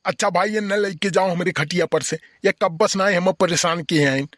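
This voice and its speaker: A man's voice: high voice, moderate pace, a bit bright, no room sound, studio quality. A man voicing a grumpy, irritable old village uncle in an Awadhi comedy cartoon, scolding and complaining in an Awadhi accent. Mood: irritated. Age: older.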